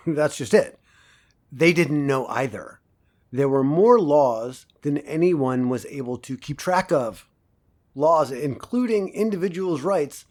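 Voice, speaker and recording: A man playing an assured professor from a dystopian future, giving a measured, didactic classroom lecture with dry wit in an audio drama. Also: on the high side, darkish tone. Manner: didactic, measured, dryly wry.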